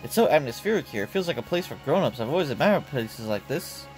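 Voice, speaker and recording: A nervous shut-in young woman from a game stumbles over her words when she gets flustered, voiced by a man. She is easily rattled and rambles when she is excited. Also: mid-range voice, fast, soft background music, lightly acted. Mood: chatty. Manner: flustered, stammering.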